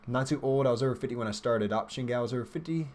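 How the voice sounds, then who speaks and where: A man's voice: medium pitch, racing, darkish tone. A man in his twenties hosting a livestream, chatting informally and thinking aloud as he reads and answers viewer questions. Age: 20s. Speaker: livestream host, software developer.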